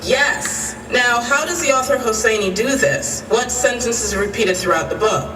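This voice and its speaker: A woman's voice: fairly high pitch, animated, normal speed, natural voice. Patient female teacher, played by a woman, radio drama; reverberant hall, off-mic. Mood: brisk, prompting. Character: female schoolteacher, patient and thoughtful, leading class discussion. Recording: echoey hall, distant, noisy.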